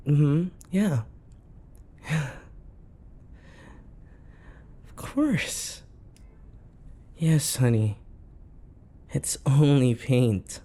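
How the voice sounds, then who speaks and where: Casting: man to play possessive dating-sim lover, dark soft voice, slow coaxing delivery, sinister sweetness, whispery ASMR intimacy. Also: mid-range voice. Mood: placating. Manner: soft, coaxing endearments, breathy sighs and whispers. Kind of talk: intimate ASMR roleplay acting.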